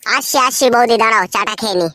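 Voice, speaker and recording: A man voicing an earnest, cautious village neighbour in a cartoon, with a pitched-up voice. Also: quick pace, sharp tone, slight background noise. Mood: confiding, serious.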